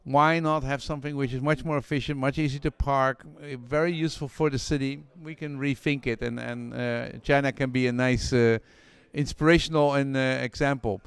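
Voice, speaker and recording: A man's voice: mid-range voice, fairly even intonation, moderate pace, hardly any pauses, clear, resonant tone, no echo. Dry-sounding male interviewer. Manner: summarizing, leading questions. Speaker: interviewer and channel host. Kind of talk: casual interview questions.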